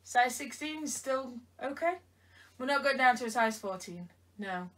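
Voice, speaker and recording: A woman's voice: fairly high pitch, expressive, normal speed, fairly bright tone. A tired woman chatting playfully in a try-on vlog, teasing someone off camera. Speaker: vlogger. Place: bedroom indoors. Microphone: camera across the room. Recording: voice from a distance.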